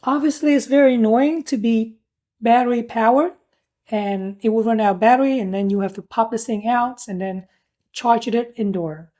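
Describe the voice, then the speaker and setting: Higher-pitched woman with a mellow tone. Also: normal speed. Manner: informal, plain-spoken explaining. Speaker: product reviewer. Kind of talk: casual hands-on product review.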